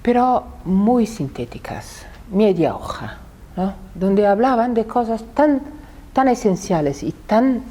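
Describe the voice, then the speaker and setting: A woman's voice: medium pitch, very animated, slow pace, warm tone. A woman in her sixties, a native Italian speaker with an Italian accent, giving a public talk and often repeating words as she searches for them. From Italy.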